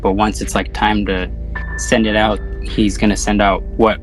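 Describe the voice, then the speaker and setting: A man's voice: lowish voice, flat delivery, moderate pace, faint music behind, rough recording. A man chatting casually about films as a podcast host, with a slangy, sweary, rambling way of talking. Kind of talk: casual film discussion chat.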